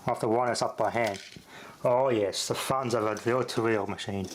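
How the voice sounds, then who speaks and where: A man's voice: medium pitch, fairly even intonation, normal speed, a little hiss or hum. A man with an Australian accent giving a casual, unscripted demonstration in a laid-back, rambling, matter-of-fact way. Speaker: host of a repair channel.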